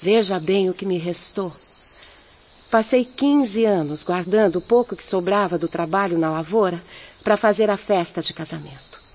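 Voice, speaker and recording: A muffled radio drama recording with faint noise and night insects carries a gentle young peasant woman played by an actress. She has a Brazilian accent and speaks in an earnest, measured, consoling manner, like a devout spirit offering comfort. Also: mid-range voice, natural voice. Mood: sorrowful. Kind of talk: Portuguese-language radio drama acting.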